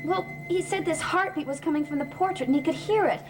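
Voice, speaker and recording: A woman's voice: very high voice, quick pace, background noise. An actress playing an anxious, questioning young woman in a vintage gothic television soap. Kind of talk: 1960s-70s television soap melodrama. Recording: old TV soundtrack with music behind.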